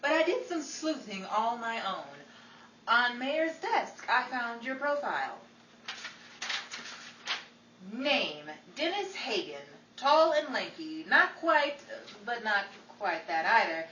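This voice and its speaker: Woman acting a bright, sardonic starlet, echoey radio. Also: fairly high pitch, big pitch swings, a little slow, exaggerated voice. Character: sardonic, exasperated Hollywood starlet, young woman. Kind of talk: 1940s old-time radio comedy acting. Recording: echoey, distant mic with faint crowd and noise.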